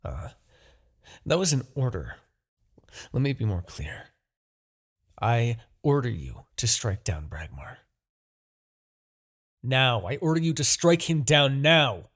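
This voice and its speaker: A man's voice: mid-range voice, sing-song, slow pace, long pauses, fairly bright tone, exaggerated voice. A man playing an imperious, obsessive demon king who is easily exasperated, in a theatrical, brooding fantasy audio roleplay. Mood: commanding. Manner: theatrical, brooding, swinging between murmurs and outbursts.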